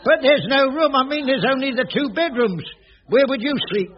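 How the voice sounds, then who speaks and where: A man's voice: very high-pitched, expressive, moderate pace. A man playing a bumbling, flustered older caretaker who stammers and laughs nervously, in a voice. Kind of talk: 1980s radio sitcom acting. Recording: dull old radio recording with hiss.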